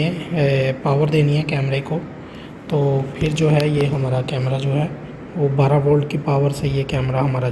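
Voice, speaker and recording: A man giving a casual hands-on how-to demonstration, explaining step by step with frequent check-in phrases. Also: a little high. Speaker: tutorial host showing an intercom installation. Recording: clearly noisy room recording.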